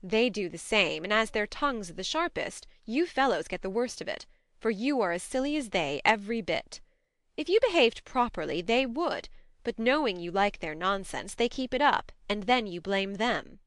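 Woman acting a young elder sister, audiobook dialogue, clear, brisk, bossy, affectionate, forthright. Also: medium pitch, very animated, fairly bright tone, no room sound, clean studio sound. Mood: scolding. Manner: admonishing, forthright.

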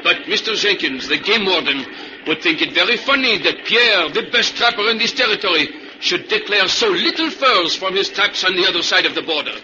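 Proud trapper, broken english, high voice, muffled old radio show. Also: male voice, expressive, a little fast, background noise. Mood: stubborn, defiant. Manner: broken English, speaks of himself by name. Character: proud, stubborn male backwoods fur trapper Pierre. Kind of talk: old-time radio drama acting.

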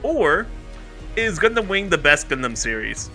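A man's voice: on the high side, big pitch swings, unhurried, pushed and bright, faint music behind. A man reading a playful, tongue-in-cheek podcast promo straight to the listener.

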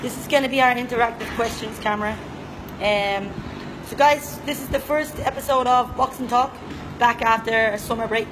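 A woman's voice: on the high side, steady tone, moderate pace. A woman hosting a casual live podcast with trainers, chatty, with frequent ums and laughs. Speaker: podcast host. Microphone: live stream cameras in a gym. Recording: loud background noise.

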